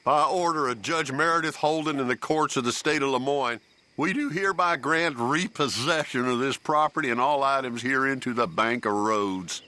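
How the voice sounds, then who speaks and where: A man's voice: medium pitch, moderate pace, sharp tone. A man reading written notices and letters aloud. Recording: faint music behind the voice.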